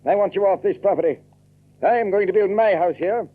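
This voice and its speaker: Casting: domineering, righteous Puritan landowner colonel for radio drama, slightly high voice, preacherly commands, thin narrow-band recording. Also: male voice, brisk. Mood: threatening. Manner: commanding, scripture-laden, formal oratory. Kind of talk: audio drama acting.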